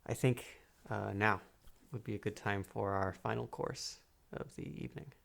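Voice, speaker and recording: A man playing a calm, manipulative psychiatrist in a soft-spoken ASMR roleplay, measured and hypnotic, pausing between phrases. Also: fairly low pitch, creaky. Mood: politely menacing. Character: calm, manipulative male psychiatrist interviewing a killer patient.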